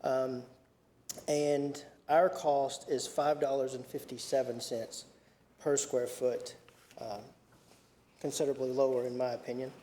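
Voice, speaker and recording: A man giving a capital plan report at a county committee meeting, speaking haltingly with many fillers and restarts. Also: medium pitch, level tone, mellow, in a large hall, a little hiss or hum.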